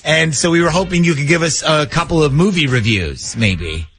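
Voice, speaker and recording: A man's voice: on the high side, lively intonation, normal speed, fairly bright tone, faint music behind. A man hosting a morning radio show, bantering casually through prank calls.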